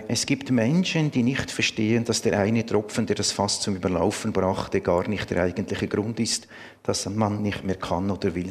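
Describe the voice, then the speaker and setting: Swiss German pastor in an echoing hall. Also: male voice, on the low side. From Canton of Lucerne, Switzerland. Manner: earnest, conversational, with many fillers. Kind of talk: sermon.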